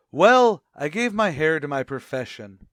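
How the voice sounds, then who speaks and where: A man playing a dignified, cultured music critic, formal and deadpan, in an old-time radio sitcom. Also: a little high, takes it slowly, no room sound, heavily acted. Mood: wounded dignity.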